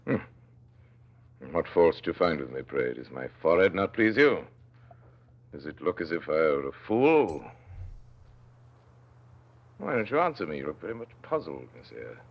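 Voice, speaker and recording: A man's voice: extremely low pitch, big pitch swings, normal speed, long pauses, exaggerated voice. A man playing a stern, brusque master of a manor in a classic radio drama, imperious and mocking. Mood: imperious, probing. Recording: muffled old radio play recording.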